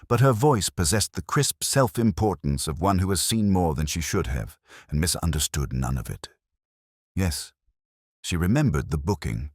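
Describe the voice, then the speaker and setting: A man with an extremely low voice narrates a first-person detective tale. His delivery is measured and literary, with plenty of rise and fall in pitch. The sound is dry, with almost no room around it. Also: no room sound.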